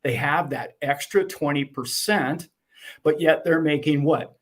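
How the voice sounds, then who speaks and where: A man with a voice on the higher side talks with animation. He explains at length and slips into acted-out exchanges to make his point. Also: a little slow. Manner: animated, acts out dialogue. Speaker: guest. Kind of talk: explaining to a host.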